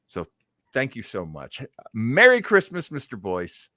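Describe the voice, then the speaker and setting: Male host, casual chatty style, lively swooping intonation, effusive, dry close sound. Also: mid-range voice, unhurried, no echo. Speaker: show host. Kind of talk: casual chat.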